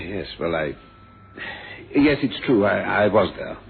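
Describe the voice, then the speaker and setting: A flustered, pompous male businessman defends himself in an old radio mystery. His lowish voice stammers and swings in pitch, on a muffled, noisy recording. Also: big pitch swings, takes it slowly, vocal fry, full character voice. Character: flustered, indignant businessman suspected of murder. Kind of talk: 1970s radio mystery drama acting.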